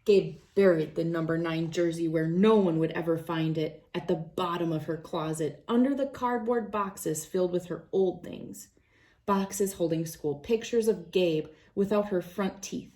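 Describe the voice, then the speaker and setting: A woman's voice: lowish voice, darkish tone. A woman reading a children's storybook aloud as narrator. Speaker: children's book reader.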